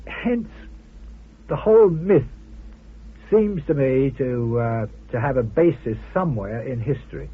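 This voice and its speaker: A man being interviewed as a guest author, talking informally and haltingly with wry amusement. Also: medium pitch, lively intonation, breathy edge. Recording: muffled vintage radio interview.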